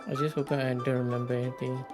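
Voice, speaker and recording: A game livestreamer chatting casually, rambling and halting while thinking aloud. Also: mid-range voice, little pitch movement, music in the background. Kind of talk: casual gaming stream chat.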